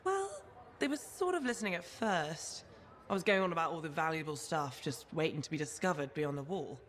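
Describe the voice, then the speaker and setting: A woman's voice: sits low, expressive, fairly quick. A woman playing a cocky, reckless troublemaker in a video game, talking casually and cheekily. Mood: casual, cheeky. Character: reckless cocky brawler freelancer, easygoing troublemaker. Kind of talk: video game cutscene acting.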